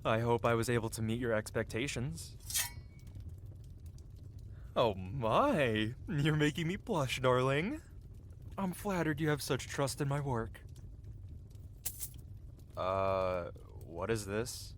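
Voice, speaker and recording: A man's voice: medium pitch, expressive, slow, pauses often, slight background noise. Man acting a teasing fantasy blacksmith, faint ambience. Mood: playful teasing. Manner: teasing, theatrical, with soft hesitations. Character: cocky, flirty, tender-hearted male medieval fantasy blacksmith. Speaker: audio roleplay performer. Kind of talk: ASMR roleplay acting, intimate one-sided dialogue.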